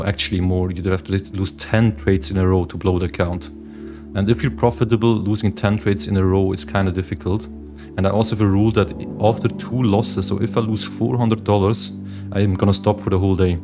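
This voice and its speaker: Man, young adult, from Switzerland, livestream host, casual chat, lowish voice, meditation music behind. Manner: chatty, addresses viewers as guys, reads chat aloud. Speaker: livestream host, day trader.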